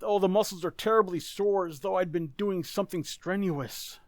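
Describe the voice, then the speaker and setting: A man playing a haggard, anxious husband in an amateur radio play, speaking in a halting, troubled way. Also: high-pitched, no room sound, natural voice. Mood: anguished, guilty.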